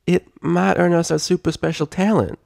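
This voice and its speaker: A man's voice: sits high, steady tone, normal speed, creaky, a little mellow, no echo, studio quality, pitched above the performer's own voice. A man voicing a small, curious and bossy cartoon filly with folksy country talk, in a story reading. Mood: hopeful.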